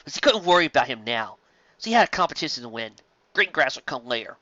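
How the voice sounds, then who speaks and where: A reader telling a fan-fiction story aloud with lively delivery and frequent restarts, in a dull lo-fi recording. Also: medium pitch, fast, no room sound.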